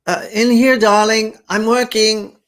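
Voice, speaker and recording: A man's voice: medium pitch, moderate pace, voice pushed up, lightly acted. A man reading aloud, voicing a playful, loving woman in her thirties in a raised voice. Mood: tender.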